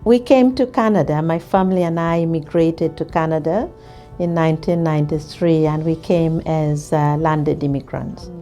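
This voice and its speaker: A middle-aged woman from Uganda with a low voice. Her tone is mellow and dark. She speaks haltingly and often stops to restart her sentences. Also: fairly even intonation, soft background music. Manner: hesitant, many fillers and restarts. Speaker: ESL instructor. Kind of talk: interview testimonial.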